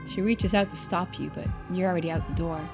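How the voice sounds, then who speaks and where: A woman streamer giving chatty game commentary and expressive narration. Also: on the low side, brisk. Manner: casual, chatty. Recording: music behind the voice. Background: music.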